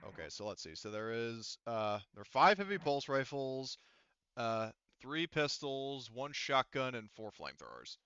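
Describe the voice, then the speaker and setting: A man with a smooth, clear voice at a middling pitch works as game master for a sci-fi tabletop game. He explains in halting bursts, often doubling back to rephrase. The sound is dry and a little dull. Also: big pitch swings, pushed and bright, no room sound.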